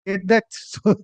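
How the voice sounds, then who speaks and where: A man with an Indian Bengali accent speaking deferentially as an advocate before a judge, halting and pleading. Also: sits high, a little mellow, no echo. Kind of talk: deferential courtroom exchange. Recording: slightly dull, lo-fi audio.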